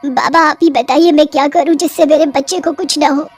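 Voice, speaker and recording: A sorrowful young ghost witch performed by a woman in a horror cartoon. Her voice sits high and has a plaintive, dramatic manner, with faint music behind it. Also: fairly even intonation, fairly quick, close to an everyday voice. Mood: sorrowful, fearful. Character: sorrowful pregnant witch, young dead wife turned ghost. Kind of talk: Hindi horror cartoon voice acting.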